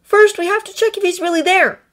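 An anxious teenage wizard boy pleading in a raised, urgent voice, as a woman reader puts him on. The voice is pushed high above the reader's own and presses hard on every line. It is a storybook reading. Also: brisk, a bit bright.

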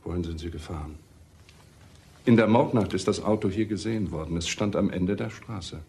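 An actor playing a relentless, sardonic police detective in a crime radio drama, deliberate and accusing with dry irony. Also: male voice, low voice, creaky, mellow. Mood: methodical pressing.

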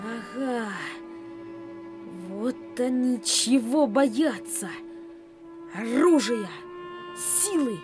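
A performer playing a brave, defiant schoolboy in a radio play, speaking in a deliberate, dramatic way. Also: medium pitch, music in the background. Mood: grim defiance.

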